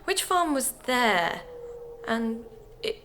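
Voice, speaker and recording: A woman's voice: on the high side, very animated, takes it slowly, a bit bright, exaggerated voice. Curious woman investigator, faint background noise. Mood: inquisitive, polite. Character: curious, polite female podcast investigator interviewing a witness. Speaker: actor in an audio drama. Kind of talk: naturalistic audio drama interviewing.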